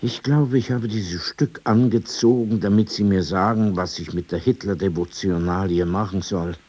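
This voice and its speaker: Husky, sardonic old man. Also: sits low, moderate pace, mellow. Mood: wry, contemptuous. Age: older. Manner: sardonic, digressive, dismissive. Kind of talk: radio drama dialogue.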